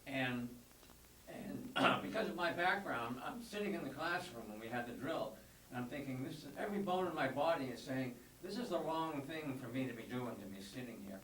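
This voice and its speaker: An older man, a volunteer tutor, speaking in a measured way at a school board meeting and clearing his throat often. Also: medium pitch, animated, a bit bright, recorded from a distance. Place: meeting room. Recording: echoey room, voice from afar, faint hum.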